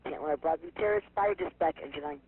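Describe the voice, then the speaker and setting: A man's voice: fairly high pitch, quick pace, breathy edge. A man working as a fire dispatcher over two-way radio, giving clipped, procedural announcements and repeating messages back. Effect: two-way radio transmission. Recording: dull, lo-fi radio scanner audio, faint noise.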